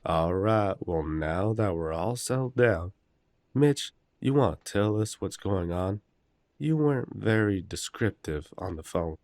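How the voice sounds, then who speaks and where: A man playing a level-headed, wry werewolf brother in an audio roleplay, speaking casually and in a measured way. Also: sits low, expressive, a little mellow, dry recording, clean studio sound. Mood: calm, concerned.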